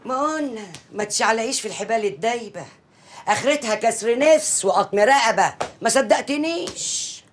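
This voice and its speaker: A woman's voice: fairly high pitch, animated, fairly quick, close to an everyday voice. An actress playing a worried, scolding middle-aged mother who nags reproachfully in an Egyptian Arabic accent. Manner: nagging, reproachful.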